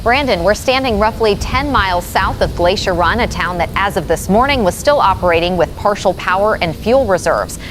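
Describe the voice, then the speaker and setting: A woman's voice: medium pitch, expressive, normal speed, few pauses, over music, close to an everyday voice. A woman acting an uneasy but professional field reporter in an audio drama, giving a serious, descriptive report. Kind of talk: live news field report.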